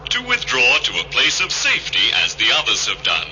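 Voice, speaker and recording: An actor voicing an unfailingly polite, evasive hotel computer with formal, obsequious manners in a radio drama. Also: male voice, medium pitch, wildly swooping pitch, a little fast, sharp tone, slight background noise, heavily acted, poor audio. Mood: soothing, evasive.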